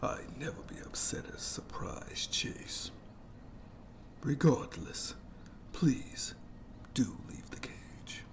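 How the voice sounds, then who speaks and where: A menacing monster, played by a man, whispers slowly in horror ASMR style, with a creaky edge to the voice. It taunts with mock courtesy, playful and sadistic, drawing out its words. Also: medium pitch, huge pitch swings, heavily acted. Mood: mock-courteous, tempting.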